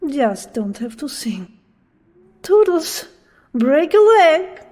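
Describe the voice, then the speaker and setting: An actress playing a breezy, teasing show performer in a comic audio drama, with a drawn-out singsong delivery. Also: female voice, sits high, very animated, darkish tone, in a large hall, exaggerated voice.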